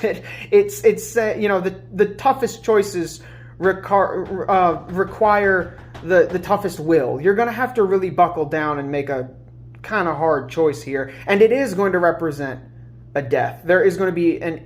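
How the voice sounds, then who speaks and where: Congested woman doing a tarot reading. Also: lowish voice. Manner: chatty, many fillers and restarts. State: congested, under the weather. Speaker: tarot reader. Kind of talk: casual collective tarot reading.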